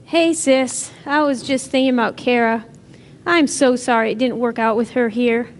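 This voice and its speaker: A woman's voice: fairly high pitch, fairly even intonation, moderate pace, noisy background, lightly acted. A woman playing an earnest, caring aunt who doubts herself, in natural dramatic dialogue. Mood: wistful.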